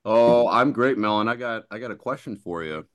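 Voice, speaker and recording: A man co-hosts a podcast in a somewhat low voice. He ribs his co-host in loose banter and often stumbles over repeated words. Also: normal speed.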